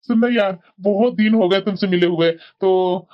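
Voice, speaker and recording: A woman's voice: mid-range voice, steady tone, a little slow, highs cut off, close to an everyday voice. A woman voicing an affectionate young man who pleads with an old college friend to meet him.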